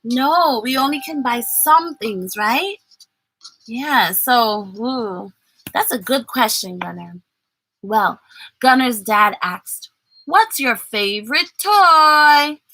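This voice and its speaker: A woman reading a picture book aloud to children as their teacher, expressive and exaggerated, coaxing them along with questions. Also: fairly high pitch, takes it slowly, no room sound, low-quality recording.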